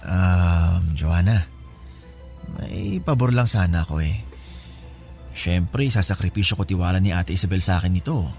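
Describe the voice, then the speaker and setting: An actor plays a scheming male relative in a Filipino radio drama, casual and overly familiar. His voice sits on the low side as he coaxes and offers favours. A light music bed runs behind him. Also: normal speed, pauses often, darkish tone. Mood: sly, bargaining. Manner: familiar, coaxing. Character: casual, scheming male in-law offering help with strings attached.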